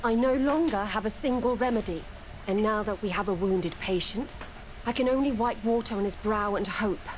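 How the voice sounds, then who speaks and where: An actress playing a worried, caring infirmary nurse in a video game, earnest and plain-spoken. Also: female voice, medium pitch, moderate pace, slight background noise, lightly acted, rough recording. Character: worried, exasperated field nurse, caring and frank.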